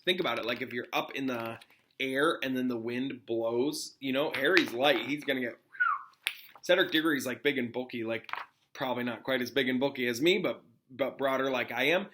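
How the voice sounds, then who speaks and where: A man's voice: mid-range voice, bright, forceful tone, slight background noise. A man who is a classroom teacher, reading a storybook aloud expressively with casual, informal asides to pupils.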